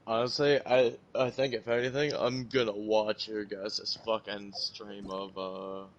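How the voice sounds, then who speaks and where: A man's voice: on the low side, flat delivery, unhurried, lo-fi sound. A man chatting casually as a friend joining in on a game stream. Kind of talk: casual chat.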